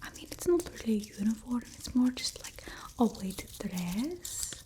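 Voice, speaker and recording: A woman's voice: fairly high pitch, very animated, normal speed, mellow. A woman voicing a haughty, fussy but doting vampire mistress in a whispered ASMR roleplay, with a put-on Eastern European accent and an imperious, teasing murmur. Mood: instructing, fussy.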